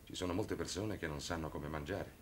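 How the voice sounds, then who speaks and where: A male actor plays an earnest priest in an old television drama. The voice is deep and measured, patient yet firm. A faint noise sits on the vintage recording. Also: natural voice. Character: calm, earnest priest defending his young charges. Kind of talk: 1960s Italian television drama acting. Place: studio set of a furnished room. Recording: noisy old television soundtrack.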